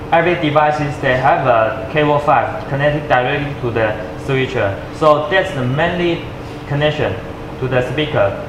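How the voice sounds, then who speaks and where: A man who speaks English as a second language gives an unscripted product demonstration, haltingly searching for words with uneven grammar. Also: mid-range voice. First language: not English. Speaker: product presenter. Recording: echoey room with clear background noise.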